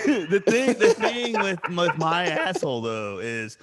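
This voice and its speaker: A man's voice: high voice, huge pitch swings, slow pace, over a noisy crowd. A man co-hosting a casual sports podcast, rowdy and laughing with crude jokes. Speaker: podcast co-host.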